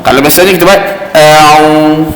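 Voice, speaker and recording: A man's voice: on the high side, steady tone, normal speed, fairly bright tone. Malaysian malay guy teaching quran pronunciation, explains and repeats sounds, roomy hall echo, bit of noise behind. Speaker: religious teacher giving Quran recitation class. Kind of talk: informal instruction with demonstrated Arabic recitation. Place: mosque hall. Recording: roomy echoing hall, faint background noise.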